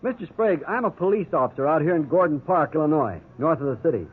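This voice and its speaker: An actor playing a mild, easygoing police officer in a 1950s radio drama. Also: male voice, a little high, animated, fast talker, slightly rough. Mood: polite. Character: mild, fair-minded junior male police officer. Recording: tinny phone-like 1950s radio recording.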